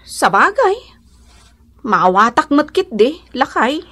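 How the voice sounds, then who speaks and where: A woman playing a poor, envious wife and mother in a radio comedy drama, plaintive and expressive, with an Ilocano accent. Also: sits high, slow pace, husky, full character voice. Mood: wistful hurt.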